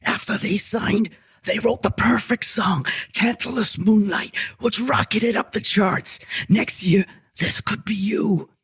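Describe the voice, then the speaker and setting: A man's voice: high-pitched, takes it slowly, a bit bright, slightly rough, dry recording, full character voice. A man voicing a nervous, stammering man who reassuringly pleads with a friend to take a deal in an audio drama. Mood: nervous persuading. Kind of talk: scripted audio drama dialogue.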